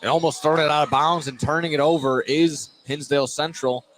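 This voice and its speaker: A man's voice: on the high side, steady tone, fairly quick. A man doing live play-by-play radio commentary of a basketball game, often repeating and restarting phrases as he follows the action. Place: indoor gymnasium during a basketball game. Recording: noisy live gym broadcast. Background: loud gym ambience, bouncing basketball.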